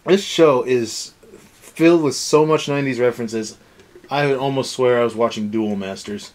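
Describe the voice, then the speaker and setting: A man's voice: medium pitch, normal speed, darkish tone. A young man co-hosting a casual review show, ranting in a sarcastic, exasperated way. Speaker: anime review show co-host.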